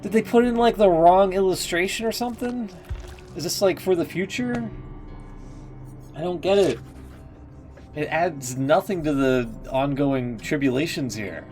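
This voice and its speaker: A man's voice: fairly high pitch, lively intonation, unhurried, long pauses, a little mellow, soft background music. A man giving casual, informal live-stream commentary on a game, musing aloud and hesitantly.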